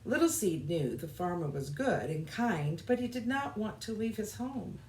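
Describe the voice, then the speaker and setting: A woman reading a children's storybook aloud with expressive, deliberate phrasing. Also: mid-range voice, slight background noise, rough recording. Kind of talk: scripted children's storybook narration.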